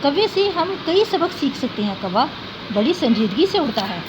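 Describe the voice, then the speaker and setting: A woman's voice: high voice, sing-song, moderate pace. A woman teacher reading a humorous essay aloud to students, stopping to explain difficult words in simpler terms. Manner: reads a sentence, then glosses hard words with "yani". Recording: dull, lo-fi recording with clear background noise.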